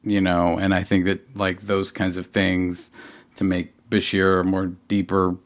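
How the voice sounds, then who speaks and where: A man co-hosting a podcast in casual discussion, haltingly restarting and repeating himself as he makes his points. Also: low-pitched, monotone. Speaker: podcast co-host.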